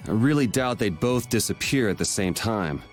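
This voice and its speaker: A man's voice: fairly low pitch, animated, moderate pace, faint music behind, natural voice. An actor playing a pragmatic young swordsman hero in a video game, laid-back and matter-of-fact. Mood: quietly serious.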